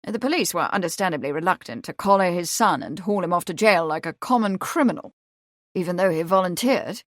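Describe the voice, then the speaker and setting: A woman voicing an affable, well-bred young gentleman in an audiobook, with polite, clipped, old-fashioned phrasing. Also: on the low side, expressive, a little fast, no echo, studio quality, natural voice. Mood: explanatory.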